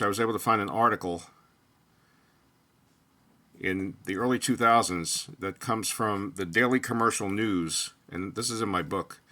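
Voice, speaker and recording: Older man, low pitch, bright tense tone, rambling solo talk, restarts. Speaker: true crime researcher. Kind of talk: solo spoken monologue.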